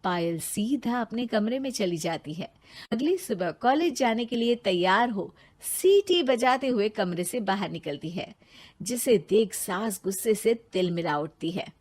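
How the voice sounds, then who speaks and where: A woman's voice: mid-range voice, expressive, measured pace, darkish tone, breathy edge. A woman narrating a scripted moral story as a storyteller.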